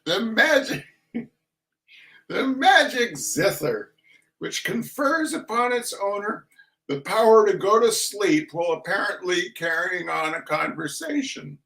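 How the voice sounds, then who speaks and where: A man giving a theatrical reading of a humorous text in a mock-solemn, deliberate manner with dramatic pauses. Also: sits high, big pitch swings, creaky, bright, forceful tone, low-quality recording. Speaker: reader of a comic essay.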